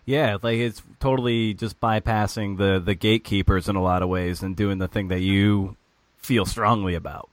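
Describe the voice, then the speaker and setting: Interviewer, man, lowish voice, dry close sound, halting restarts. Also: fairly even intonation, no room sound. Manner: searching questions, filled with likes and restarts. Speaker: podcast host interviewing. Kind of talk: interview conversation.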